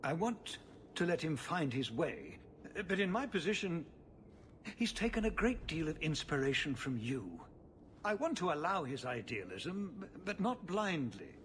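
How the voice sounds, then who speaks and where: A man's voice: medium pitch, a bit bright, a little hiss or hum. An actor playing a formal, anxious city ruler pleading for help with his son. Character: worried middle-aged male city ruler and father.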